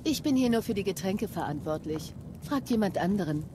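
A woman's voice: mid-range voice, lively intonation, fairly quick, mellow, slight background noise, close to an everyday voice. An actress voicing a brusque female tavern worker in a game, curt and dismissive.

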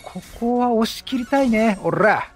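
A man giving casual video game commentary, chatty and jokey, reacting out loud with exclamations. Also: extremely high pitch, a little slow, soft background music. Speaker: game streamer.

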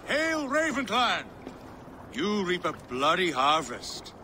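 Forceful, booming Norse warlord. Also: male voice, wildly swooping pitch, takes it slowly, a little hiss or hum, full character voice. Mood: hearty, proud. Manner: declamatory. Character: booming, proud Norse warlord, a king's uncle. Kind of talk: dramatic video game acting.

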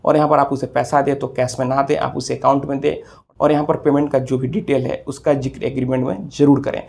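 A man in his thirties talks as an instructive video host, explaining things step by step. His voice is mid-pitched and husky, with little melodic movement, and he often circles back over his phrases. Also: flat delivery, fast talker, darkish tone. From India. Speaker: legal advice video host. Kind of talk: explanatory legal advice to camera. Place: small office room, indoors.

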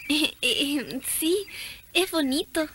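A woman's voice: sits high, slow, fairly bright tone, a little hiss or hum. A female performer playing a shy, sweet little girl in a radio drama, hesitant and innocent. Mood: shy, wistful.

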